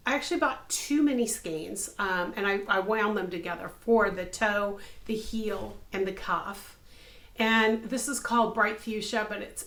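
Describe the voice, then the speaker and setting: A woman in her fifties, slightly hoarse, hosting a casual craft podcast from her home craft room, upbeat and full of ums. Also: medium pitch, fairly bright tone. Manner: chatty and upbeat, many ums. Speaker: podcast host, crochet designer. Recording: echoey room.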